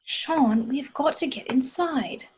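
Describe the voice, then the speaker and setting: A woman plays an anxious but no-nonsense young survivor with a very high voice. It is an amateur comedy table read with faint noise in the recording. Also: takes it slowly, a little mellow.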